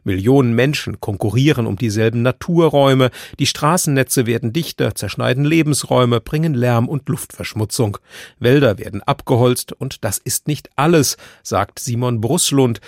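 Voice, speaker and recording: A male radio presenter reads a science report in a steady, matter-of-fact way. His voice sits at a middle pitch and the studio sound is dry and close. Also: dry recording. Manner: measured, matter-of-fact delivery. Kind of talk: scripted radio narration.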